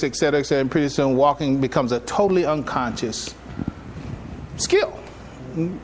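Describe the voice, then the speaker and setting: Male imam, high voice, emphatic teaching style with deliberate pauses and repeated phrases, slight live-recording noise. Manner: animated, emphatic, rhetorical questions and repetitions. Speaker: imam giving a public address. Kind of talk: religious lecture, teaching to an audience.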